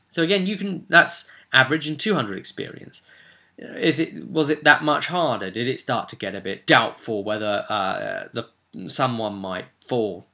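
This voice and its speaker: A man's voice: mid-range voice, vocal fry, pushed and bright. A man giving an informal, explanatory tutorial in an emphatic, opinionated, conversational way. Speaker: host of a tabletop role-play tutorial series. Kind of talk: informal explanatory monologue with read-out rules.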